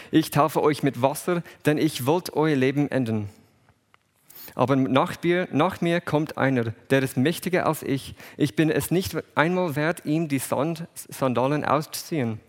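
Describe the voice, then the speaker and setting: A man who grew up in England and the USA, with English as his first language, reads scripture and preaches in German with an English accent, pausing often and restarting words. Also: medium pitch, in a large hall. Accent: English-speaking. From England, raised in USA. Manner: halting non-native German with restarts. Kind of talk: bible reading and sermon.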